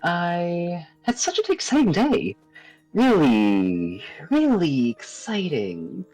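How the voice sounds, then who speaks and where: A woman's voice: on the low side, wildly swooping pitch, slow, a little mellow, no room sound, faint music behind, pitched above the performer's own voice, exaggerated voice, rough recording. A woman voicing a cheerful but bored elf in a visual novel, chirpy and theatrical with a self-mocking streak. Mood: chirpy, eager. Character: cheerful, bored elf woman living a quiet grove life.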